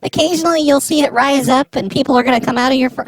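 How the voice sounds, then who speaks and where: A woman's voice: high-pitched, very fast, a little hiss or hum, lightly acted, low-quality recording. A woman playing a flippant, dismissive city official on an improvised prank call, blunt and nonchalant.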